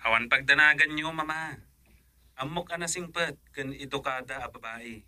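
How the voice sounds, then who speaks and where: A man's voice: a little high, normal speed, bright, forceful tone, no echo. A man with a Filipino accent acting in a radio drama as an earnest son in love, eager to marry. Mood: earnest, reassuring. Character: adult son in love, eager to marry, reassuring his mother.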